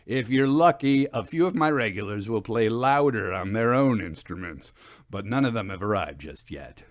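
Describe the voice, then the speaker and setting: Man playing a teasing burly baritone barman. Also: expressive, normal speed, no echo, studio quality, lightly acted. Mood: flattered, jovial. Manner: bantering. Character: burly bearded tavern barman, teasing, jovial and sly.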